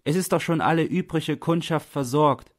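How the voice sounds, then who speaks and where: A man acting a desperate, high-calling freezing beggar. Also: normal speed, natural voice. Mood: desperate pleading. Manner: imploring, calling out loudly. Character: freezing, penniless man, pleading desperately for coal.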